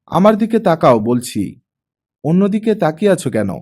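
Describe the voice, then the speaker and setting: An eager, chatty young girl, read by a man, playful and insistent in a storybook reading. The pitch is fairly high and very lively, with a soft, mellow tone. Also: normal speed. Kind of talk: audiobook character reading.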